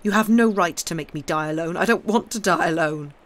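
A man voicing a mean condemned prisoner of about thirty in an audiobook, shouting in desperate, enraged protest. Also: fairly high pitch, brisk, close to an everyday voice. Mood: outraged, desperate.

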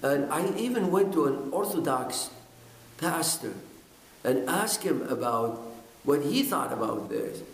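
A man's voice: fairly high pitch, expressive. Older man speaking earnestly in an echoey room. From Iraq. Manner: earnest, halting, with repeated words and fillers. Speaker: pastor. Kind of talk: personal testimony to camera. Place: indoors, in front of a bookshelf. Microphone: camera at close range.

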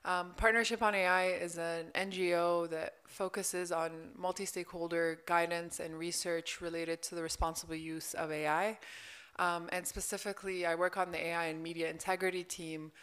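A young adult woman introducing herself on a conference panel in a measured, steady way. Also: lowish voice, smooth. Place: conference hall stage. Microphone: table microphone.

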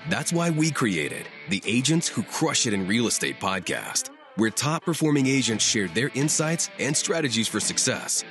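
Lively male announcer over guitar. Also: mid-range voice, normal speed, hardly any pauses. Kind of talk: scripted promo narration. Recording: narration over guitar music.

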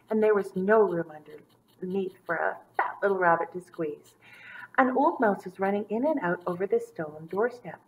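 A woman in her thirties reading a children's story aloud with dramatic emphasis and drawn-out words. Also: mid-range voice, poor audio. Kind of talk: expressive storybook read-aloud for young children. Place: small indoor room set up as a classroom.

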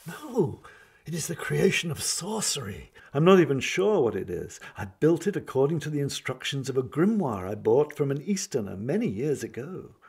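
A man voicing a proud, sly baron and sorcerer in a hushed, coaxing manner, reading from a fantasy story. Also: fairly high pitch, sing-song, moderate pace, vocal fry, husky, exaggerated voice. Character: living-machine's master, sly proud masked baron sorcerer.

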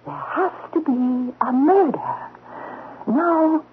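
An actress playing a seductive, taunting supernatural temptress in a radio drama, drawing out insistent questions. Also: female voice, high voice. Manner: slow, drawn-out, insistent questioning. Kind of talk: old-time radio drama acting. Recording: tinny, noisy old radio broadcast.

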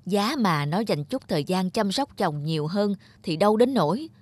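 A woman's voice: mid-range voice, animated, normal speed, a little mellow, close to an everyday voice. A woman playing a chatty, well-meaning married neighbour in a cartoon, gossiping with sighs. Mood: regretful. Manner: gossipy, sighing.

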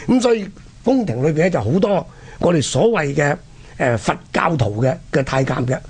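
Husky Hong Kong Cantonese man, higher-pitched. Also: animated, normal speed, a little mellow. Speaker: co-host of a history programme. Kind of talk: conversational history storytelling.

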